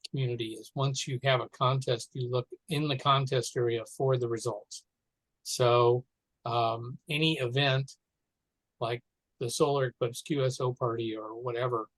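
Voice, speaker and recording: Man with halting delivery, dry sound. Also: medium pitch, fairly bright tone, no echo, poor audio. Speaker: meeting participant. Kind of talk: informal meeting discussion. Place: online conference call.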